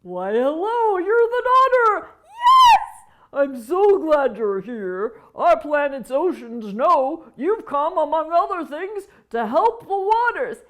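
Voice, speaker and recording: A woman voicing a kindly, joyful whale who speaks in a drawn-out, excited sing-song. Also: high-pitched, a little hiss or hum, heavily acted.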